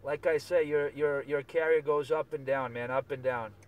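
A man's voice: on the high side, fairly even intonation, moderate pace, over a noisy crowd. A man chatting casually on CB radio, giving signal reports and often repeating himself. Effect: CB radio transmission. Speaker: CB radio operator. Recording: distant, very noisy CB radio chat.

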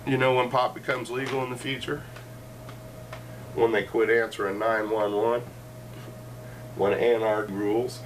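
A man's voice: mid-range voice, little pitch movement, normal speed, pauses often. A middle-aged man hosting a casual, joking chat in a cluttered living room, wandering through ideas with lots of asides. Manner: joking, meandering, with frequent asides. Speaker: show host. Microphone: fixed camera across the room. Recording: distant camera mic, faint room noise.

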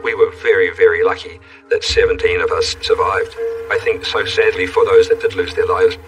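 Deep-voiced man recalling a crash. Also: a little fast. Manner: plain, reflective storytelling. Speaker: crash survivor interviewee. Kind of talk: documentary interview recollection. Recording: distant mic with music.

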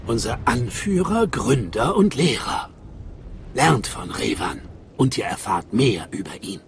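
A man playing a solemn cult guide in a video game, speaking in a measured, reverent, mystical way. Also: medium pitch, big pitch swings, a little hiss or hum. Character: solemn male cult guide welcoming initiates. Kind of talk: dramatic video game voice acting in German.

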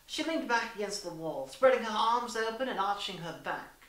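A woman's voice: on the high side, expressive, moderate pace, a bit bright. A young woman reading a story aloud in a theatrical, arch, mock-genteel manner. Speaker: story reader. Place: small room indoors. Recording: echoey room, distant mic.

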